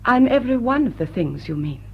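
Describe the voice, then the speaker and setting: A woman's voice: a little high, big pitch swings, brisk, heavily acted. An actress playing a polite, guarded lady in a radio drama, gracious and reserved. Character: gracious, guarded English lady, gently refusing. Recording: muffled audio with clear noise.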